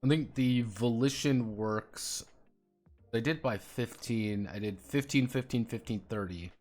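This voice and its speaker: A man's voice: medium pitch, unhurried, darkish tone, soft background music. A man streaming as he designs a tabletop game, explaining rules casually and muttering to himself while he works out the numbers. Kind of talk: casual thinking-aloud explanation.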